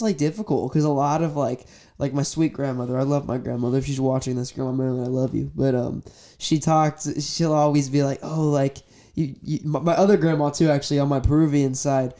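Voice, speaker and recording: A male interviewer with a somewhat high but very soft-edged, mellow voice. He chats informally, wandering through his thoughts in an easy conversational style. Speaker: podcast host, interviewer. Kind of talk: casual chat.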